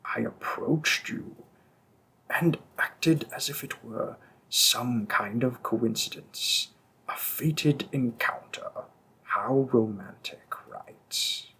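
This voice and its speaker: A man voicing a possessive, seductive male demon king in an audio roleplay, speaking softly and often in a whisper, slow and teasing. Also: mid-range voice, breathy edge, full character voice, poor audio.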